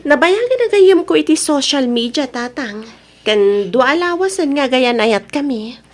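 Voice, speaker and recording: A woman playing a stubborn, lovestruck young single mother in an Ilocano radio drama. Her voice is high-pitched, with an Ilocano accent, as she stands her ground as a daughter. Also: expressive, measured pace. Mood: pleading, earnest.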